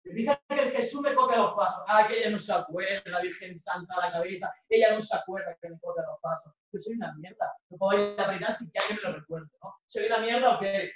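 A woman's voice: medium pitch, quick pace, few pauses, lightly acted. A woman with a Spanish accent from Spain playing a volatile, controlling young boyfriend who rants and accuses in a theatrical monologue. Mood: bitter, accusing. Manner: aggressive, repetitive, demanding, cutting the other off. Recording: very echoey room, distant muffled microphone.

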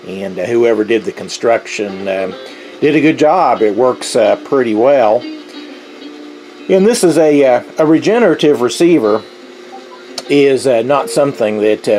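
A middle-aged man giving an unscripted, explanatory demonstration as a hobbyist, speaking haltingly with a gravelly voice. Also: medium pitch, a little mellow, rough recording. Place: indoors, at a tabletop radio. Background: shortwave radio playing faintly.

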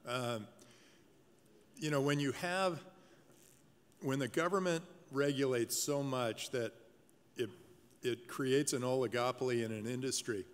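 A man on a conference panel, speaking with enthusiasm and many pauses and fillers. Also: mid-range voice, smooth. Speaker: venture investor panelist. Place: large conference hall stage. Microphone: table mic. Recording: hall reverb, faint room noise.

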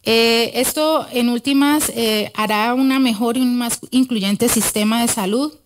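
A middle-aged woman giving an academic conference talk at a podium microphone in a large auditorium, earnest and often pausing to correct herself. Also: on the high side, slight background noise. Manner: earnest, with frequent hesitations and self-corrections. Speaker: conference speaker. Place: large wood-panelled auditorium.

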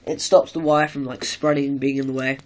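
A man's voice: medium pitch, little pitch movement, a little fast. A teenage boy with a cold and sore throat, casually showing off a model build, rambling with many fillers and self-corrections. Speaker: hobby video maker. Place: indoor room at home, filming with a handheld camera.

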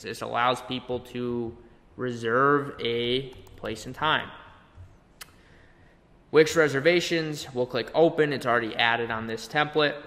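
A young man presenting a conversational software tutorial, explaining step by step with little check-in questions. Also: mid-range voice. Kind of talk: conversational screen-share tutorial. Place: indoor room, webcam beside screen capture. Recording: long reverberant room echo.

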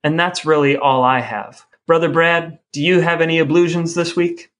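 An American man co-hosting a podcast in an articulate, measured, scripted delivery. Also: fairly high pitch, fairly even intonation, a bit bright. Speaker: podcast co-host. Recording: guitar music behind the voice. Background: guitar music.

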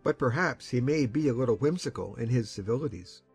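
A middle-aged man playing a sensible uncle in a dramatized audiobook, speaking in a measured, mildly wry way. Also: medium pitch, animated, vocal fry, darkish tone, breathy edge, faint music behind, full character voice. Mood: musing.

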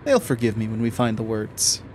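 A man's voice: lowish voice, lively intonation, moderate pace, darkish tone, slight background noise, close to an everyday voice. A man playing a guarded government agent in a horror audio drama, dry, clipped and matter-of-fact. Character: guarded, dry federal agent of an obscure underfunded division.

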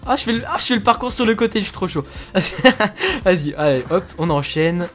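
A man's voice: sits high, lively intonation, moderate pace, a bit bright, soft background music. A man from France chatting casually and laughing as he plays a video game. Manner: chatty, laughs often, fillers. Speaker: gaming youtuber. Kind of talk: casual gaming commentary.

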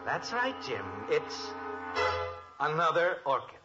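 Ominous radio mystery narrator over loud music. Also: male voice, fairly high pitch, big pitch swings, slow pace. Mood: ominous, knowing. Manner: theatrical, ominous. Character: melodramatic mock-mystery narrator, ominous and knowing. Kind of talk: 1950s radio mystery parody. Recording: old radio recording, dull. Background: loud dramatic music.

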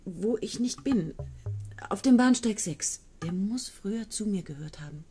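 A young woman in a radio play voicing a dreamy, evasive student, soft and husky, answering quietly and sometimes in a whisper. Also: mid-range voice, expressive, unhurried, natural voice. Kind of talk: 1970s radio play acting.

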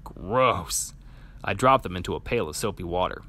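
Guy reading a kids picture book out loud, really lively expressive voice. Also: deep voice, normal speed. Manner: animated, expressive storytelling. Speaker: storybook reader. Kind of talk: expressive children's picture book read-aloud.

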